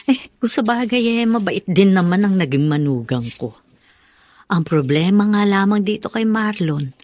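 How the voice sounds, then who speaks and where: A woman playing a talkative, protective middle-aged Filipino mother in a radio drama, expressive and full of exclamations. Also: sits low, no echo.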